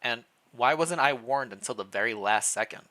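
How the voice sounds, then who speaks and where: A man's voice: medium pitch, animated, moderate pace, sharp tone. A man voicing a young, weakened royal heir in a story reading, questioning and insistent. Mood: anxious, questioning.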